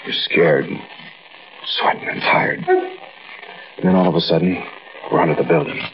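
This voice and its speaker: An American man playing a frightened robber who narrates a night heist in a halting, conversational 1940s radio drama style. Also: deep voice, steady tone, crowd noise, lightly acted. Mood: fearful, weary. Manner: conversational, brooding, halting storytelling. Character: uneasy, frightened male bank robber recounting a night heist. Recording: muffled, noisy old-time radio recording.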